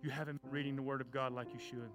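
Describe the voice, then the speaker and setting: A children's pastor, preaching fervently and then praying, loud and passionate at the peaks. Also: male voice, medium pitch, little pitch movement, quick pace. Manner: fervent shouting preacher, stomps and cries. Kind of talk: sermon and closing prayer. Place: church sanctuary. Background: soft guitar music.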